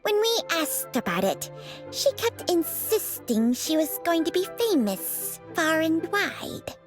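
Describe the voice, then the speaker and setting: Slow, high-voiced little girl. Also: very animated, music in the background, exaggerated voice. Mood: calm. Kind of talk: scripted video game dialogue.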